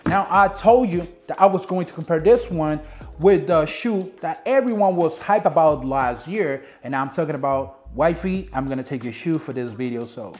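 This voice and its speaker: Chatty Dominican-accented man, fairly high voice. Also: lively intonation, normal speed, darkish tone, soft background music. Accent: Dominican Spanish. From Dominican Republic. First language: Spanish. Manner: chatty, repeats points for emphasis. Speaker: sneaker reviewer. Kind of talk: casual product review to camera.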